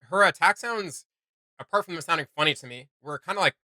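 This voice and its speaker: A man co-hosting a reaction video, chatting casually in an animated, rambling way. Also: fairly high pitch, fairly quick, no echo, studio quality.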